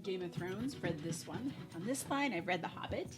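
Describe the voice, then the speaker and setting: A woman's voice: fairly low pitch, lively intonation, normal speed, over loud music. A woman in her thirties presenting as a school librarian host, talking casually to camera for students. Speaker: school librarian hosting a virtual library show.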